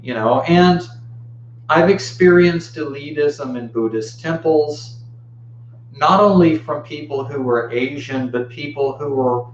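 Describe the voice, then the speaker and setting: An American man of fifty-nine giving an informal dharma talk in a reflective, halting way with long pauses. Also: on the high side. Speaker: Buddhist teacher giving a talk. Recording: muffled, roomy, faint background hum.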